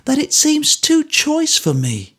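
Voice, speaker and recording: A man voicing a warm, quick-witted soldier's wife who speaks with motherly fondness. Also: very high-pitched, huge pitch swings, voice pushed up, exaggerated voice. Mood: delighted. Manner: quick, motherly, fond exclamations. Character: older woman, warm, brisk soldier's wife.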